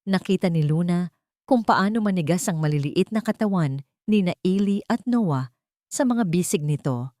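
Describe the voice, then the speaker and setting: A woman narrating a scripted audio drama in a measured, earnest, dramatic storytelling manner. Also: lowish voice, expressive, warm tone, dry recording, clean studio sound.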